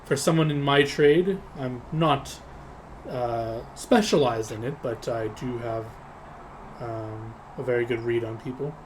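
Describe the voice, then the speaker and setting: A man acts out a freelance spy for a tabletop game. The voice is male and mid-pitched, with formal, measured, slightly hesitant speech. There is faint noise behind it. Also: close to an everyday voice, rough recording. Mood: measured, confident.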